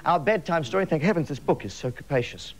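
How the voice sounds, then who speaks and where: A man's voice: on the high side, expressive, quick pace. An Australian man hosting live TV comedy, telling a mock bedtime story with campy, cheeky asides, on a noisy recording. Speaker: comedy show host and storyteller. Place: television studio, live. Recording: noisy live TV studio sound.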